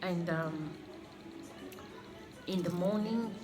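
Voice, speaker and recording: A woman in her thirties talks through a personal faith testimony in a fairly low voice. She speaks earnestly, pauses and repeats her phrases as she goes. Music plays clearly beneath her. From Congo, raised in Australia. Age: 30s. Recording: music playing under the voice. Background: clear background music.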